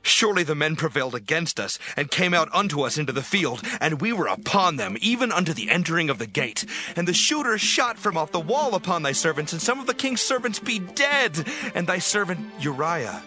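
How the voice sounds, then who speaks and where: A man's voice: a little high, big pitch swings, brisk, music in the background. An actor playing an earnest battlefield messenger reporting to his king in a dramatized Bible, urgent and formal. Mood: urgent, grave.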